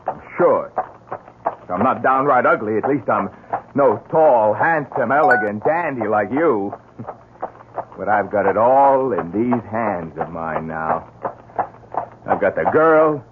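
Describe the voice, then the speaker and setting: A man's voice: mid-range voice, close to an everyday voice. A man playing a short, arrogant young concert pianist in an old-time radio drama, boastful, scornful and theatrical. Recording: noisy, narrow-band old radio recording.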